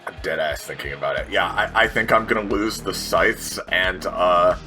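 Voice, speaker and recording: A man's voice: low-pitched, moderate pace, pushed and bright. A man playing a tabletop game, thinking aloud and describing his character's moves in colloquial, profane speech. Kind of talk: casual game chat and narration. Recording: music under the voice. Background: background music.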